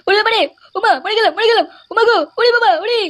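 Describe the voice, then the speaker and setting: A performer voicing a foul-mouthed, hot-headed, excitable cartoon troublemaker, crude and blustering. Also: extremely high pitch, racing. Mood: panicked. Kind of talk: comic cartoon dialogue. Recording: clearly noisy cartoon soundtrack.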